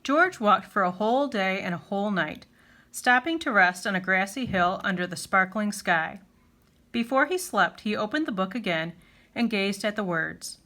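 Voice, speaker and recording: Animated, bright-voiced woman reading a children's story. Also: medium pitch, normal speed. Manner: animated, clearly phrased storytelling. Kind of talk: expressive scripted children's story narration.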